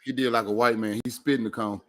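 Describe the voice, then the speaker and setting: A man's voice: mid-range voice, fast. A man from Memphis with a Memphis accent, a comedian co-host telling jokes and stories on a podcast. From Memphis, Tennessee. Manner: animated joke-telling storyteller. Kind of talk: casual comedic podcast chat.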